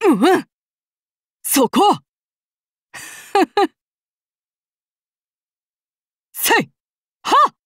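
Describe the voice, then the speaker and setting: A voice actress playing a courteous, archaic-spoken samurai woman with a demon side, her soft formal speech giving way to shrill battle cries. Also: very animated, drawn-out words, no room sound, studio quality, exaggerated voice. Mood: fierce, battle cries. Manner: courteous and archaic with a menacing undertone. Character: polite, bloodthirsty demon-blooded samurai woman. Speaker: voice actress for a game character. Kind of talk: game character voice lines.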